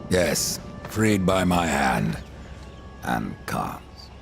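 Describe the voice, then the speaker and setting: A man playing a grim, imperious horseman of the apocalypse in a dark fantasy game, curt and menacing, with a gravelly voice. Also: rumbling low, sing-song, drawn-out words, music in the background, heavily acted. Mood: stern.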